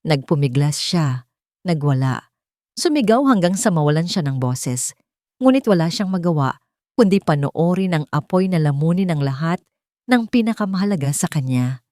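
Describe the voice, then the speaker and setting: A woman narrates a dramatic scripted tale in a fairly low female voice. The sound is dry and close, with little room in it. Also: mellow, no room sound.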